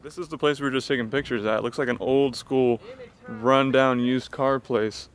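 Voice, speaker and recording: A young man talking casually in a car vlog, outdoors at an old used car lot, getting excited about what he finds. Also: medium pitch, moderate pace. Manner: excited, exclaiming. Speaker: car vlogger.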